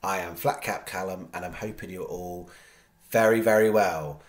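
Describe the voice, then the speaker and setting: A man with a low voice, congested from a cold, chats casually to camera as a racing tipster. He rambles, circles back and restarts his sentences. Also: moderate pace. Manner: rambling, many restarts and fillers. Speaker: horse racing tipster host. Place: home studio.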